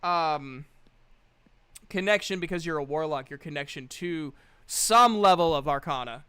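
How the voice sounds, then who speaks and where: Man, game master, bright crisp voice, playful, casual swearing, tabletop narration. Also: fairly high pitch, a little slow, no echo.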